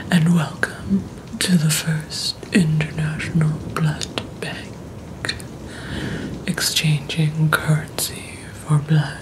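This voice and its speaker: A woman in her thirties whispers close to the mic in an ASMR roleplay. She plays a mysterious, courteous vampire bank teller, with a low voice. Her delivery is extremely slow, hushed and deliberate. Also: rough recording.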